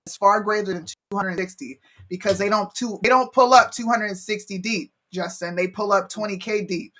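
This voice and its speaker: Talk show cohost from pennsylvania, blunt and cussing a lot, bright forceful tone. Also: medium pitch, moderate pace. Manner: blunt, profane, emphatic. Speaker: show co-host. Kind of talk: casual debate chat.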